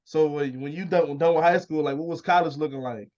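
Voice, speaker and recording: A man hosting a casual interview in informal, slangy, sometimes profane speech over a low-quality internet call. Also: a little fast, a little mellow, muffled recording. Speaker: interview show host. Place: remote video call.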